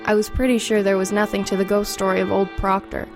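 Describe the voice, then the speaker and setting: A teenage girl, played by a woman, narrates an audio drama in the first person. Music plays clearly behind her. Her voice is medium in pitch, reflective and confiding. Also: little pitch movement, fast, natural voice. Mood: reflective, ominous. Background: music under the voice.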